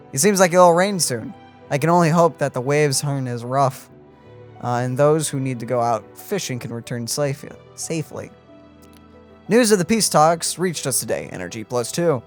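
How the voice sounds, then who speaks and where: A man's voice: mid-range voice, animated, moderate pace. Young man reading game text aloud. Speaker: gaming streamer. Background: video game music.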